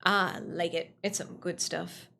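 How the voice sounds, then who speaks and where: A woman's voice: lowish voice, steady tone, measured pace, creaky, bright, forceful tone, a little hiss or hum. A woman chatting casually on a livestream, answering viewers in a gushing way and repeating phrases for emphasis. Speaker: livestream host.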